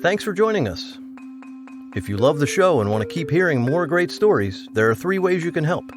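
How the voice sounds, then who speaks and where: Animated male podcast host over music. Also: fairly high pitch, fairly quick. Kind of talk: scripted podcast outro. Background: music with marimba.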